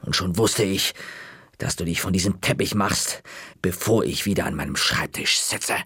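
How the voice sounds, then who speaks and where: A man voicing a stern older female librarian who speaks slowly, low and with quiet menace in an audiobook reading. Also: husky, dry recording, studio quality, full character voice. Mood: menacing. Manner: slow, low, quietly threatening.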